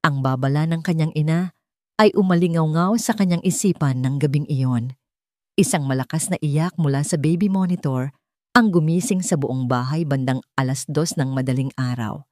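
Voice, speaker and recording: A woman's voice: sits low, moderate pace, a little mellow, no room sound, clean studio sound. A woman with a Filipino accent reading a scripted, dramatic audio story as its narrator.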